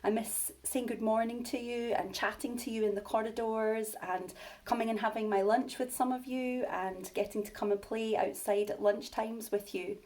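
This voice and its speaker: A woman speaking as a primary school teacher, addressing and reading to young children in simple, expressive language. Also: on the high side, moderate pace. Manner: simple, child-directed delivery.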